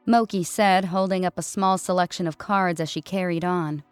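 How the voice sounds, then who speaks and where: A woman's voice: fairly low pitch, steady tone, normal speed, clear, resonant tone, dry recording, faint music behind. A woman reading a scripted story as its narrator.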